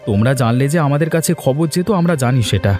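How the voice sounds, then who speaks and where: A man voicing a brisk, impatient village husband in a cartoon, speaking brusquely. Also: medium pitch, animated, darkish tone, soft background music, lightly acted. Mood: troubled.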